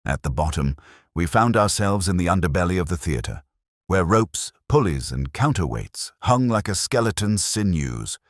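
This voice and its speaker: A man narrating a dramatic mystery story in a measured, solemn manner with short, deliberate phrases. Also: low-pitched, big pitch swings, no echo, studio quality.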